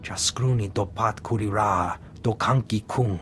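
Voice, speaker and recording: A man's voice: on the low side, unhurried, music in the background, lightly acted. A man voicing an alien character in a video game, speaking in an invented alien tongue. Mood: matter-of-fact.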